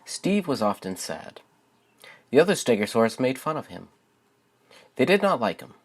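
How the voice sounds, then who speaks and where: A man's voice: medium pitch, sing-song, moderate pace, breathy edge. A young man who hosts a channel and reads his own writing aloud for viewers. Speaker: channel host and children's book author. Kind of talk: casual spoken introduction to viewers.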